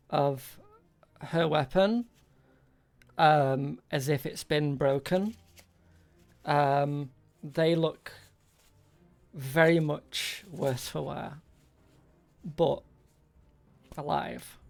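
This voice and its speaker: A game master improvising narration for a tabletop role-playing game, haltingly, searching for words as the scene is described. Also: medium pitch, steady tone, vocal fry.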